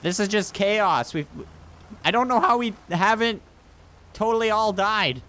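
A man's voice: high-pitched, measured pace, pushed and bright. A man chatting casually through multiplayer gameplay as the group's leader, calling out directions and instructions to teammates. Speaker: gamer leading a multiplayer roleplay group.

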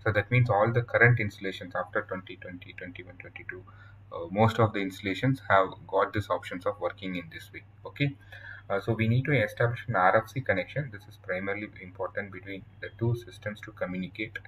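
A man with an Indian accent presenting a software tutorial in a halting, explanatory way over slides. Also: lowish voice, husky, poor audio. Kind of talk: informal scripted-outline tutorial narration over slides.

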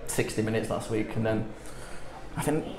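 A man's voice: fairly low pitch, moderate pace, a little mellow, reverberant, poor audio. A man, a team captain talking plainly in a post-match interview and leaning on "you know" and "I think". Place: small indoor club room.

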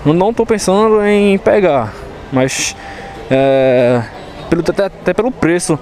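Excited young Recife biker, high voice. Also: male voice, unhurried, slight background noise. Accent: Pernambuco Brazilian Portuguese. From Recife, Brazil. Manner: excited, slangy, hype-driven. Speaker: motorcycle vlogger. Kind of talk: casual slangy vlog chat. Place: indoor motorcycle showroom. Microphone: chest-mounted action camera.

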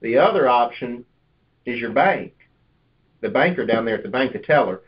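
A man's voice: mid-range voice, animated, normal speed. Guy doing sales coaching on a conference call, talks persuasive and explains stuff, bit muffled. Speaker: insurance sales manager and trainer. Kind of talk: conversational sales coaching with role-play pitches. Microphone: conference call line. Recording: dull, lo-fi conference call audio.

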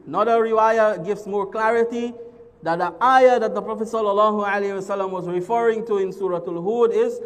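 A man in his thirties preaches from a pulpit in a spacious mosque hall, his voice pitched very high. He repeats key phrases and glosses each Arabic word in English. A long reverb trails behind every sentence. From Guyana. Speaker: imam giving a Friday sermon. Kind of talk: sermon. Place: large mosque hall with a crowd seated on carpets.

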